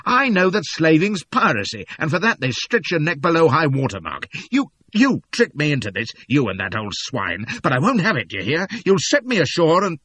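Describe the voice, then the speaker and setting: A man voicing a panicked young Englishman in an audiobook, loud, high and frantic. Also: wildly swooping pitch, moderate pace, few pauses, slightly rough, heavily acted. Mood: furious. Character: panicked young Englishman, blustering then pleading. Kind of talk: audiobook character voice.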